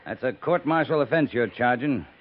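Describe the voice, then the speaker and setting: An actor playing a firm, level-headed cavalry captain in a terse, commanding 1950s radio drama style. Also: male voice, mid-range voice, expressive, moderate pace, lightly acted. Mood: stern. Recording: noisy, narrow-band 1950s radio recording.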